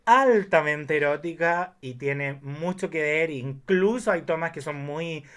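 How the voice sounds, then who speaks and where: A man chatting casually about films, telling things in an animated way with emphatic asides. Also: a little high, unhurried. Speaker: guest film enthusiast. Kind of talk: casual film chat.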